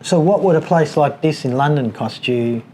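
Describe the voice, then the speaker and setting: A man's voice: fairly high pitch, normal speed, darkish tone, slight background noise. An Australian man casually interviewing someone, attentive and prompting with questions. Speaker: interviewer, host. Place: a condo apartment.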